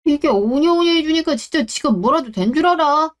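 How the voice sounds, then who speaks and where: A woman's voice: high voice, lively intonation, brisk. A woman voicing an arrogant, shameless middle-aged ex-husband in a radio drama, sneering and blaming as he talks. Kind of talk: Korean radio drama reading.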